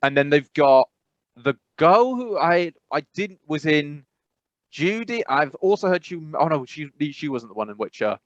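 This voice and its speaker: A man's voice: fairly high pitch, very animated, normal speed, no echo. Rambling man, dry dull sound. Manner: rambling, self-correcting, frequent "I must be honest". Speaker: podcast co-host. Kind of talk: casual chat.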